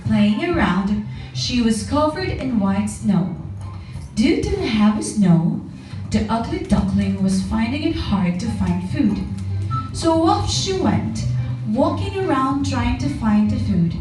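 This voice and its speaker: A woman's voice: on the high side, very animated, slow, a little mellow. A woman narrating a scripted children's story for a puppet show. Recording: very echoey, distant mic, music behind.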